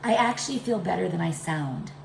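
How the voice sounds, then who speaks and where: A middle-aged woman hosting a casual home cooking demonstration, her voice congested and deepened by a chest cold. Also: animated, fairly quick, vocal fry. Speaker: home cooking video host. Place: indoors, home kitchen. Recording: echoey room with background noise.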